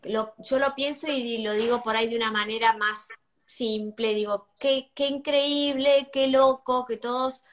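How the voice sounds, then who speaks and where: Female guest, 50s, Argentine accent, somewhat high pitch, casual and searching delivery over video call. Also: fairly even intonation, normal speed, a little hiss or hum. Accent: Argentine Spanish. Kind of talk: casual chat. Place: room at home.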